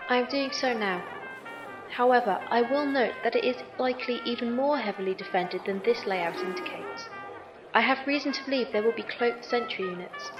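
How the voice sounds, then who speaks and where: A woman's voice: a little high, normal speed. A woman acting as a precise artificial servant in an audio drama, stiff and formal, with an echoing synthetic effect. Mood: formal, factual. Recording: echoey, dull sound under music.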